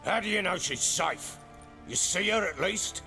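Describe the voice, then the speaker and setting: An actor playing a gruff, grieving, volatile middle-aged baron in a dramatic video game, brooding and blunt. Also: male voice, high voice, expressive, a little slow, pushed and bright, faint music behind, full character voice. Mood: agitated, demanding. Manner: brooding, blunt, agitated when pressed.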